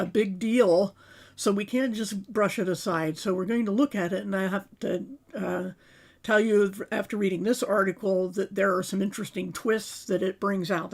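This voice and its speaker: Raspy-voiced woman hosting a livestream. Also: mid-range voice. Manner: clears throat often, hesitant fillers. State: raspy, sore throat, sinus congestion. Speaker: livestream host. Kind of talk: casual livestream talk and article reading.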